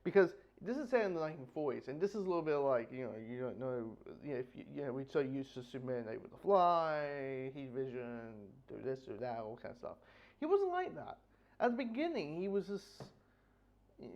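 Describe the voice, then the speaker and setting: Dull lo-fi recording; male reviewer, slightly high-voiced, rambling and blunt, casual unscripted delivery. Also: very animated, darkish tone. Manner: rambling, halting, repeats phrases. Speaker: book reviewer.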